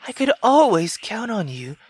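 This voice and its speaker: A visual novel player, a woman, voicing a cruel, accusing mother in a venomous, drawn-out, commanding way. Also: fairly high pitch, big pitch swings, darkish tone, slightly rough. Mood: pleading, vengeful. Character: cruel, accusing mother scolding her daughter.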